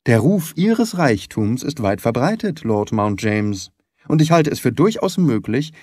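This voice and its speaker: Audiobook role: male private detective , voiced by the narrator, measured, polite, quietly mischievous, expressive pitch. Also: slightly rough, no echo, studio quality. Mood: slyly polite. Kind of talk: dramatised audiobook reading.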